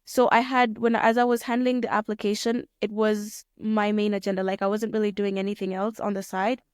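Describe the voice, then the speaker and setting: A young woman from Kenya answering interview questions in a relaxed, thoughtful way, often rephrasing mid-sentence with plenty of fillers. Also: medium pitch, a little fast, smooth, no echo, clean studio sound. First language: Swahili. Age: young adult. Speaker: guest, pilot student.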